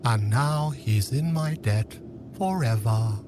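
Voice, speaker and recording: An actor playing a grave, smug, scheming villain in an audio drama, measured and portentous in manner. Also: male voice, mid-range voice, noisy background, close to an everyday voice. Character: scheming male manipulator, grave, smug, menacing.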